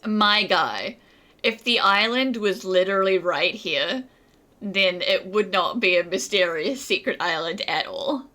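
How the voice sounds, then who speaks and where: Bright-voiced, wry woman gamer. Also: mid-range voice, lively intonation, unhurried. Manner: wry, teasing, slangy. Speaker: gaming streamer. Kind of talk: casual playthrough commentary.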